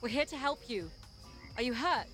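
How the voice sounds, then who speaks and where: An actress playing a brisk, helpful heroine asking questions in video game dialogue. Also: female voice, fairly high pitch, lively intonation, close to an everyday voice. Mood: concerned. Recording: game audio with music behind.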